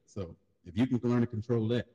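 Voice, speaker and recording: A man in a casual panel talk, laid-back and storytelling, who jumps into loud high mocking voices when he quotes people. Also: fairly quick, husky, dull audio. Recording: long hall reverb.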